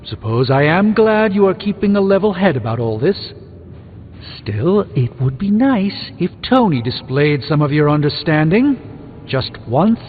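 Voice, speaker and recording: Pompous sorcerer hero, thin phone-like sound. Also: male voice, on the high side, sing-song, a little slow, a little hiss or hum. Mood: wry, assured. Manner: pompous, theatrical, articulate. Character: proud, erudite sorcerer hero, adult man. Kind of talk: video game character dialogue. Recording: phone-like band-limited sound under music. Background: music.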